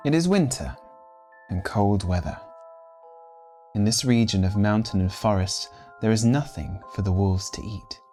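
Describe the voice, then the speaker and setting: A man narrating a literary story in a deliberate, menacing, dramatic style, as a scripted readthrough. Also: on the low side, animated, dark tone. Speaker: reader of a short story. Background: instrumental background music.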